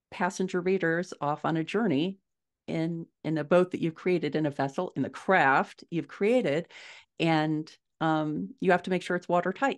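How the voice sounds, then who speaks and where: A middle-aged woman giving measured, explanatory answers as a guest author in a conversational interview over a video call. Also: deep voice. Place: small furnished room at home, indoors.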